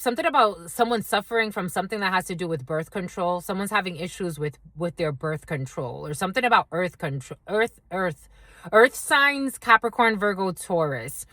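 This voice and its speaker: A woman's voice: medium pitch, bright, forceful tone, no room sound. A woman doing a channeled tarot reading, rambling from one intuitive impression to the next and speaking straight to her viewers. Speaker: tarot reader.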